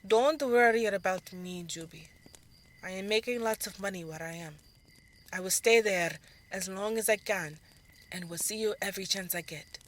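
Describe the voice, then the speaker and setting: A woman's voice: medium pitch, normal speed, close to an everyday voice. A woman voicing a fiery, bossy elder sister who dances, with a commanding, insistent delivery in an audio drama. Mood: reassuring.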